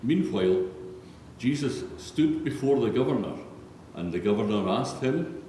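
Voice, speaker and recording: A man's voice: medium pitch, lively intonation, unhurried, warm tone. A man narrating a solemn scripted Bible reading in a large church. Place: large reverberant church, read aloud. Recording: echoey church hall, distant, faint noise.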